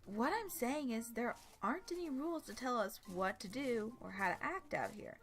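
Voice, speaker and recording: A woman's voice: fairly high pitch, animated, moderate pace, voice pushed up. A woman voicing a bold, sardonic young woman with a casual, mocking manner, in a story reading. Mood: teasing, matter-of-fact. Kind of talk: animated storybook character reading.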